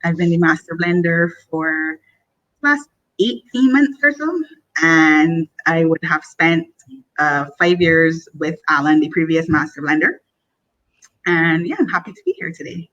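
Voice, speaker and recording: A woman's voice: low voice, a little slow, a bit bright. A woman casually introducing herself on a video call. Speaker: master blender at a rum distillery. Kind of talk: casual self-introduction on a webinar. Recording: dull video-call audio.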